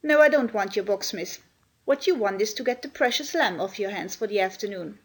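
A woman's voice: mid-range voice, expressive, fairly quick, husky. A woman voicing a grumpy, suspicious housemaid in a children's storybook, blunt and scolding in manner. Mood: cross, suspicious. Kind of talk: acted children's storybook reading.

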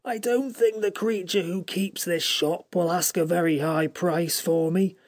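A man voicing a small, sad magical creature that speaks softly, pleading and close to tears. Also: high-pitched, fairly even intonation, a little slow, voice pushed up.

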